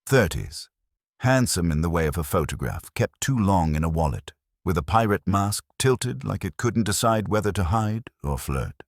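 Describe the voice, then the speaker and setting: A man reads hardboiled detective fiction in an extremely low voice. His intonation moves freely, with a wry edge to the storytelling. The sound is dry and close, with no room to it. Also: very animated, moderate pace, dry recording. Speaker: audiobook narrator. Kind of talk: scripted first-person detective fiction narration.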